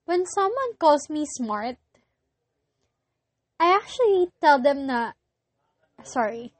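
A young woman with a Filipino accent, whose first language is Filipino, hosting a casual solo podcast and often pausing to search for words. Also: high voice, very animated, no room sound. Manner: hesitant, searching for words with many pauses. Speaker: podcast host.

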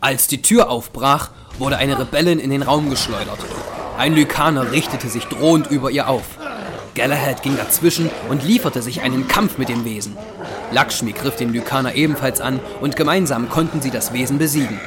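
A man's voice: medium pitch, moderate pace, background noise. A man reading scripted narration for an audio drama, telling the story in the past tense. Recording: loud music under the voice. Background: loud dramatic music.

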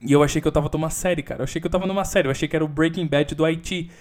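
A young man with a Brazilian Portuguese accent, hosting a casual solo podcast from home, telling a story with lots of swearing and sudden loud outbursts. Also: on the high side, fairly even intonation, rapid-fire. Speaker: podcast host.

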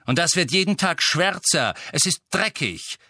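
Audiobook character, voiced by a man: gruff, scornful householder, curt commands, lively swings in pitch. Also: moderate pace, no room sound, clean studio sound. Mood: curt, angry.

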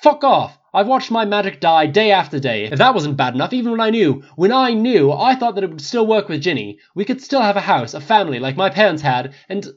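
A man's voice: high-pitched, very animated, brisk, few pauses, dull audio, voice pushed up. A man voicing a distraught, defensive young male wizard, agitated and volatile, in a dramatic story reading. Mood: anguished outburst. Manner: pleading, agitated, stammering under pressure.

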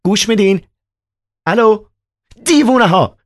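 A man reading aloud and voicing a frantic young male poet held in an asylum, his voice pushed high and shrill. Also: animated, no echo, clean studio sound. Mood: shouting.

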